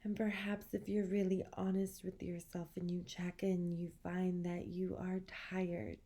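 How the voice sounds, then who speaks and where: Slow, gravelly-edged woman guiding relaxation. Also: lowish voice, flat delivery. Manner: soothing, gentle, measured with long pauses. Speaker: guided meditation instructor. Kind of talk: guided relaxation meditation.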